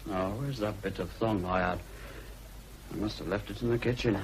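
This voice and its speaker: A stubborn young farmhand talks bluntly and gruffly, as an actor plays him. His voice sits a little low. It is a radio drama with faint background hiss. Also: moderate pace, close to an everyday voice. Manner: blunt, gruff dialect speech.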